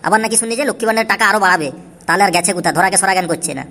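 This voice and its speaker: A man voicing a disgruntled, coarse husband in an amateur comedy cartoon, grumbling and hectoring, with artificially raised pitch. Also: rapid-fire, pushed and bright. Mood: bitter, grumbling. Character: disgruntled, bitter husband railing at his family. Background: faint music.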